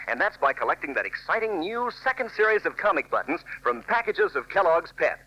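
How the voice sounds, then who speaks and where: Male 1940s commercial announcer: swooping, forceful sales pitch to youngsters, folksy, lo-fi old radio sound. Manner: enthusiastic, folksy, emphatic.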